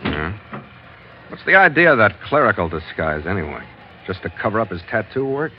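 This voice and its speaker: Dry, hard-boiled American sea captain. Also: male voice, lowish voice, sing-song, normal speed. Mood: skeptical. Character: tough, laconic, skeptical sea captain narrating his adventure. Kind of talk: 1940s radio adventure narration and dialogue. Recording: dull old radio recording, loud music.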